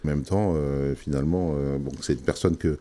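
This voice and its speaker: Super low-voiced guy, soft mellow tone, keeps pausing with lots of euhs, radio guest. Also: fairly even intonation. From France. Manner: hesitant, many pauses and fillers. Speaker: association president.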